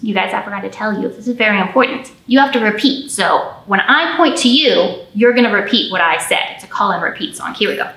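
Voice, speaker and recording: A woman's voice: medium pitch, expressive, brisk, gravelly, hardly any pauses, sharp tone, a little hiss or hum. An American woman in her thirties speaking as a children's storytime host, explaining things simply and encouragingly to little kids. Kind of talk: storytime talk for young children. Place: indoor room with a painted mural. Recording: roomy echo, camera at a distance.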